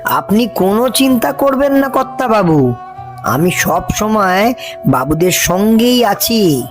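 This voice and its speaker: A man's voice: sits high, big pitch swings, slow, faint music behind, full character voice. A man voicing a loyal, superstitious village servant in a cartoon, deferential, earnest and foreboding. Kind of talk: cartoon voice acting.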